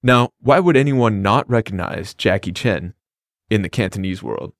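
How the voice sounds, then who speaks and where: A man's voice: fairly low pitch, animated, normal speed, no room sound. A man co-hosting a scripted language lesson, explaining phrases in an upbeat, plain way. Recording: dry studio recording.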